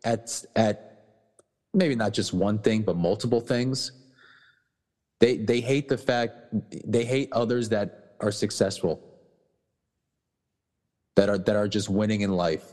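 A man co-hosting a casual podcast, talking bluntly with many restarts and swearing, his voice going hoarse. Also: fairly low pitch, slow, long pauses, darkish tone, long reverb tail. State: losing his voice. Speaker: podcast co-host.

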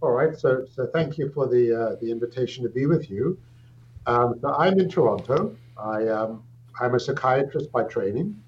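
A middle-aged man with a South African accent, a psychiatrist answering an interview question with measured, thoughtful speech and frequent pauses and restarts. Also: mid-range voice. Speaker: guest, psychiatrist. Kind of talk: interview answer, recounting background. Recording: dull lo-fi audio with faint hum.

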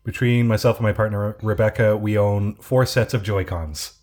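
A man chatting casually on a podcast, telling a drawn-out story with many restarts. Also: on the low side, steady tone, a little mellow. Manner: rambling storyteller with frequent restarts. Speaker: podcast co-host. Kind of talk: casual chat.

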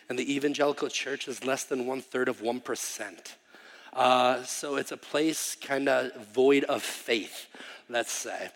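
A man in his thirties from Wisconsin speaks to a congregation as a visiting missionary, chatty and self-deprecating, often restarting with an um. His mid-pitched voice carries a gravelly edge. The sound rings with the long reverb of a church hall. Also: fairly bright tone. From Wisconsin, USA. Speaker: missionary guest preacher. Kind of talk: casual sermon introduction. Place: church sanctuary.

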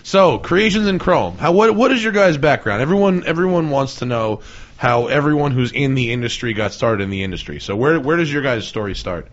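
A man's voice: medium pitch, animated, brisk, highs cut off. A man hosting a casual podcast chat, joking and swearing as he rambles. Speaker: podcast host.

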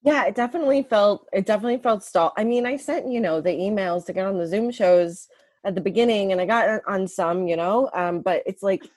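A woman comedian with a dry voice, chatting casually with halting fillers, heard over a video call. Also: mid-range voice, darkish tone, no echo. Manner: laid-back, halting with fillers. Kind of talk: casual chat.

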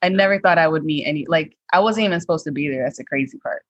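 A woman co-hosts a podcast and tells stories in a relaxed, wry way. Her voice sits a little low. The sound is dry and slightly dull. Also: fast, no echo. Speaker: podcast co-host. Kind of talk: casual storytelling.

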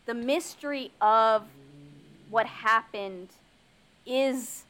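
A woman's voice: fairly high pitch, very slow. A woman co-hosting a podcast, chatting casually and animatedly, full of "like" and acted-out quotes. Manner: animated, lots of "like", acts out quotes. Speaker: podcast co-host. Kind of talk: casual chat.

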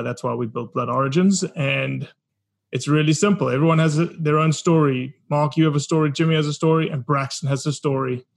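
South African man, forties, earnest guest, level even tone, mid pitch. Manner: earnest, reflective storytelling. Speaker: podcast guest, documentary founder. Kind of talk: casual interview talk.

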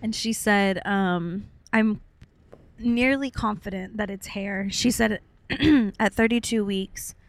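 A woman's voice: medium pitch, slow pace. Young American woman chatting casually. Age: young adult. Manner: informal, chatty, uses playful made-up words. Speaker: podcast co-host. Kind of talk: casual chat, personal storytelling.

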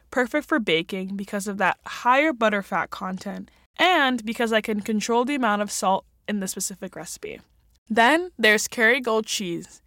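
A woman's voice: fairly high pitch, a bit bright, no room sound, studio quality. A woman hosting a food podcast, reading an ad and asking upbeat interview questions. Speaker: podcast host. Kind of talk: interview and read ad. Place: recording studio.

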